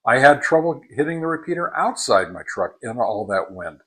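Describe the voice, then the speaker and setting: A man giving a casual spoken product review in a halting, deliberate way, repeating words for emphasis as a hobbyist reviewer. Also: medium pitch, big pitch swings, fairly bright tone, husky.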